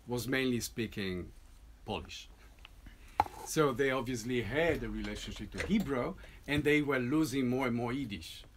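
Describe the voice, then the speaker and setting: Man explaining his piece haltingly. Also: mid-range voice, a little hiss or hum. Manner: halting, many restarts and tag "no?". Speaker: composer presenting a piece. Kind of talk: informal talk to an audience.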